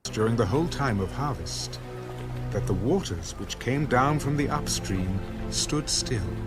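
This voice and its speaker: Man, scripted Bible narration, dramatic, lively intonation, medium pitch, background music.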